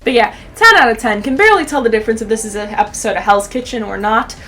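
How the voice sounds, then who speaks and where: A woman's voice: on the high side, fast talker, pushed and bright. A young woman giving casual, sarcastic YouTube commentary in slangy, sweary language. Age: young adult.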